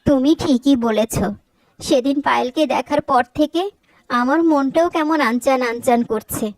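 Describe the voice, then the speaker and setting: A woman's voice: high-pitched, moderate pace, a little mellow, no room sound, low-quality recording. A woman voicing an anxious, emotional middle-aged mother in a cartoon, with a fretful, emphatic delivery. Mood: worried. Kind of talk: Bengali cartoon voice acting.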